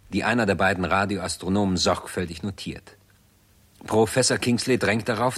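Man, scripted narration, vintage radio drama, lower pitch, rough-edged voice. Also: fairly bright tone.

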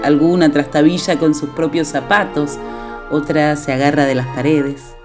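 A woman's voice: low voice, fairly even intonation, moderate pace, music in the background, natural voice. A woman delivering scripted narration for a radio play, as its narrator.

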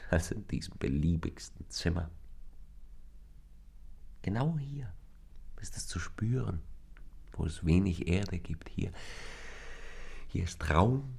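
Low and husky, a rapturous lover in a radio drama. He speaks slowly and with poetic fervour, the gravelly voice often thinning into breath. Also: a little mellow, heavily acted. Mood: intimate, earnest. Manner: poetic, fervent, deliberate declamation. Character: rapturous male lover, a stranger in a rented room. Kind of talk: literary German radio play acting.

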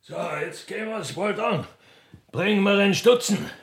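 A grumbling rustic Austrian father acted by a man in a radio play. The voice is gravelly and slow, yet swoops in pitch as he mutters, with faint music behind. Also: animated, fairly bright tone, full character voice. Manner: gruff, grumbling, muttering repetitions. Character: gruff, grumbling rustic railway guard and poaching father. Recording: music under the voice.

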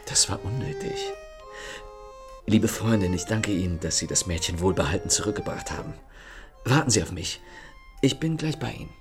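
An actor playing a charming, ironic gentleman thief in a radio play, speaking with smooth, courteous mockery. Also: fairly low pitch, lively intonation, moderate pace, pauses often. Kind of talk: radio drama acting. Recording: studio radio play with faint music.

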